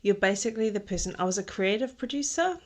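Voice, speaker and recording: A woman's voice: medium pitch, lively intonation, fast. A woman, a podcast guest talking through her career in a thoughtful way, with many ums and restarts. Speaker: podcast guest, textile designer. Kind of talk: casual interview conversation.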